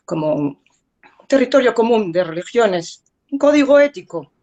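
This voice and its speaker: A woman, a native French speaker who grew up in Spain and France, giving a measured, reflective conference talk as an artist and art lecturer. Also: medium pitch, vocal fry, darkish tone.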